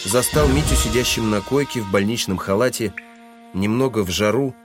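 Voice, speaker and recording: A man's voice: fairly low pitch, moderate pace, close to an everyday voice. A man narrating a literary audio drama in a scripted, storytelling style. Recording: music under the voice.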